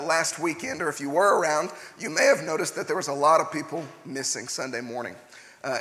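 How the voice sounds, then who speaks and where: Hoarse young man preaching in a hall. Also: fairly high pitch, a bit bright. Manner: chatty, frequent "uh" fillers. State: hoarse, partly lost voice. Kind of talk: casual sermon with personal story.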